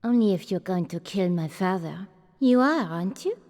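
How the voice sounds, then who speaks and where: A woman's voice: fairly low pitch, expressive, normal speed, a little mellow, big-room sound. An actress playing a morbid, spoiled young aristocratic girl in a TV drama, blunt, petulant and imperious. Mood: demanding. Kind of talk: TV drama acting.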